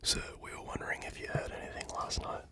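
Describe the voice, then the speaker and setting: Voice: fast, close to an everyday voice. A reader whispering the lines of an eager, competitive young female detective.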